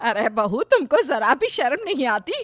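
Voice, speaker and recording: A woman voicing a stern middle-aged mother-in-law in a cartoon story, loud and reproachful. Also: high voice, very animated, moderate pace, no echo. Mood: scolding.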